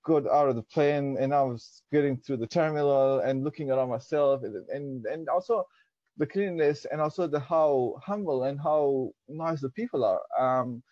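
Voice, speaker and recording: A man's voice: medium pitch, moderate pace, darkish tone, no room sound, dull audio. Hesitant young man, native Dari speaker. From Pakistan. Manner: hesitant, many fillers and restarts. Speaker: interview guest. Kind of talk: reflective personal storytelling.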